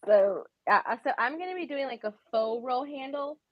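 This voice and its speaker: A woman sewing instructor chatting in a relaxed, friendly way during a video call from a shared office at her sewing school, laughing often. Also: high-pitched, normal speed, highs cut off. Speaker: sewing instructor, guest on a live stream. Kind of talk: casual live-stream chat. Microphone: computer mic on a video call.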